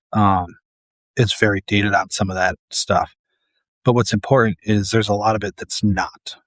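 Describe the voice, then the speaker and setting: A man's voice: on the low side, dry recording, rough recording. A man who works as a paramedic, talking casually and earnestly to camera, tired and losing his voice.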